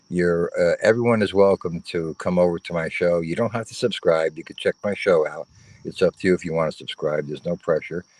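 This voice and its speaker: A man joining a livestream chat as a guest over his phone, earnest and prone to repeating his points with halting restarts. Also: low voice, a little mellow, no room sound. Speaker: guest, fellow show host.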